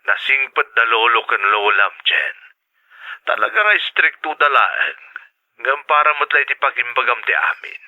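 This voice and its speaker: A man playing a loving, reassuring middle-aged father in a radio drama, gently advising through a telephone filter with an Ilocano accent. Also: mid-range voice, expressive, normal speed, dry recording. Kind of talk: Filipino radio drama acting. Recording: thin phone-call sound.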